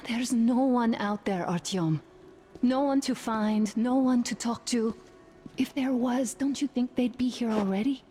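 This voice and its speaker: An actress voicing a worried, earnest young wife in a dramatic video game scene, softly pleading. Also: female voice, a little high, a little slow, natural voice.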